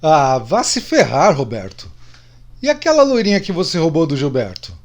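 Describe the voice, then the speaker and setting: Middle-aged male buddy actor, radio drama, confessional storyteller, lively intonation, higher register. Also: moderate pace. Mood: irritated teasing. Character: middle-aged man confessing secrets to old drinking friends.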